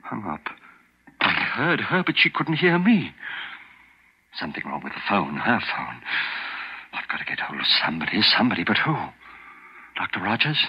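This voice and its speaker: A man's voice: fairly low pitch, big pitch swings, normal speed, long pauses, breathy edge. An actor playing an older man in a 1940s radio thriller, speaking with urgent, dramatic delivery. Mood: panicked. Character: elderly man, hunted by a scheming son-in-law. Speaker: protagonist and narrator. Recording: dull old radio broadcast with music.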